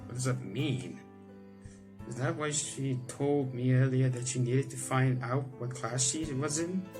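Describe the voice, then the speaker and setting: A young man hosting a gaming video, reading the game's text aloud and chatting about it with restarts and quick laughs. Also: mid-range voice, over music. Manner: chatty, self-correcting, breaks into laughs and reactions. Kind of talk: let's-play reading game text aloud with comments.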